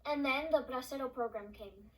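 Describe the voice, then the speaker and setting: A woman's voice: high voice, a little fast, a bit bright. A young woman student actor playing a migrant farm girl remembering family life in a theatrical oral history piece. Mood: vivid storytelling. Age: young adult. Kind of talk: theatrical two-person oral history storytelling. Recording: distant mic, faint room noise.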